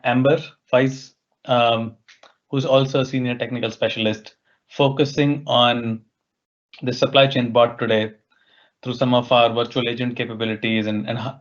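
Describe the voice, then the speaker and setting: Male webinar host, mid-pitched, conversational delivery, heard on dull compressed call audio. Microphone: online meeting microphone.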